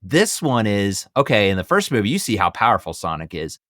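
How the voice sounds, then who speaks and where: A man's voice: on the low side, very animated, a little fast, fairly bright tone, dry recording, studio quality. A man in his thirties hosting a podcast, chatting casually about films in a rambling, emphatic way. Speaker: podcast host. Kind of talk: casual film review chat.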